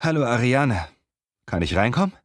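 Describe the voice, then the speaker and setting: A man playing a friendly young apprentice in a scripted language-learning dialogue, speaking clearly and in a measured way. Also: mid-range voice, big pitch swings, dry recording, clean studio sound, close to an everyday voice. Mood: friendly, practical. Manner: clear, measured, textbook delivery.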